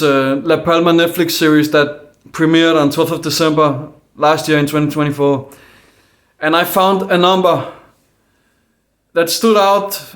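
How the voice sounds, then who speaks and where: Hesitant man with a Danish accent. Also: on the high side. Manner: hesitant, many fillers and restarts; calm, earnest. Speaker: independent video maker. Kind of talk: casual monologue to camera.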